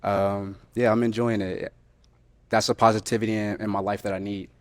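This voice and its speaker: A man with a low voice answers questions on a dating show. He talks haltingly, repeating himself and restarting his sentences. The sound is close and dry. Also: little pitch movement, darkish tone, no echo. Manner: halting, repetitive, many ums and restarts. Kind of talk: candid unscripted conversation.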